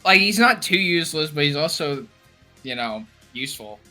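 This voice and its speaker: A man's voice: a little high, lively intonation, normal speed, pushed and bright, faint music behind. A man co-hosting a casual ranking chat, talking in a slangy, opinionated way. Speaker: co-host ranking anime characters. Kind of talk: casual banter commentary.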